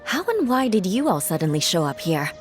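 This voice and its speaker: Brief: a poised, dryly proud young knight in a game, expressive lilt, faint score. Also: female voice, lowish voice, brisk, faint music behind. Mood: resolute. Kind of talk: game voice acting.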